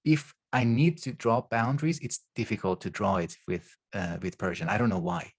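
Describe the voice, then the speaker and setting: Thoughtful, measured male interviewer. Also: medium pitch, sing-song, gravelly, slightly rough.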